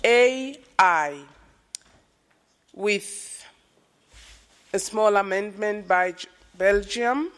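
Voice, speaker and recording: Slow-paced woman chairing a committee. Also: medium pitch, fairly bright tone. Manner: slow, procedural, calling for objections. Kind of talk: chairing a formal meeting. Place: large conference hall. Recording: long reverb of a large hall.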